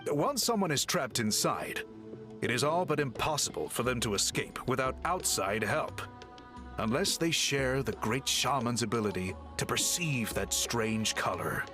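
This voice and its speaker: Learned male scroll scholar, played by a man; formal, patient explanations with an animated lilt over soft game music. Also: mid-range voice, unhurried, close to an everyday voice. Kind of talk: game dialogue.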